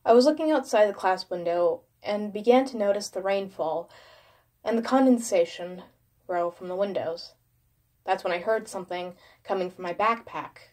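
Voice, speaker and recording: A woman's voice: medium pitch, moderate pace, darkish tone. Woman dramatically narrating horror.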